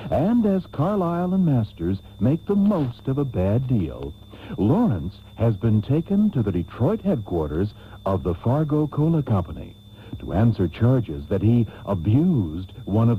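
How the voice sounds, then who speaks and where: A man's voice: on the low side, big pitch swings, a little slow, a little hiss or hum. A man playing a grandly earnest host, narrating a comedic radio serial in a mock-solemn, theatrical style. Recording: tinny, narrow old radio tape.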